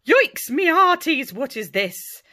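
Lady doing a bold pirate captain for a picture book, shouty, swoops up high, hearty. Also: very animated, slow pace, heavily acted. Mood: excited. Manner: loud, hearty exclamations. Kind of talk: storybook character voice.

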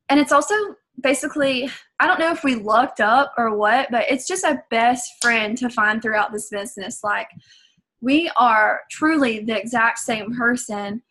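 Young Southern woman, a coach, chatty vlog delivery, a bit high-voiced. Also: fairly bright tone. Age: young adult. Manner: chatty, filler-heavy, earnest. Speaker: fitness business coach. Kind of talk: casual vlog talk to camera.

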